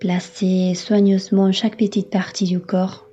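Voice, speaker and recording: A woman yoga instructor leading a guided relaxation in a calm, soothing, unhurried manner with long pauses. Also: mid-range voice, muffled recording, a little hiss or hum. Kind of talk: guided meditation, yoga nidra.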